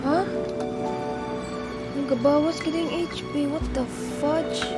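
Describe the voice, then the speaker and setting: A woman's voice: sits high, steady tone, unhurried. A woman giving casual commentary as she plays a video game. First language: Filipino. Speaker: gameplay streamer. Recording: loud game music and battle sounds.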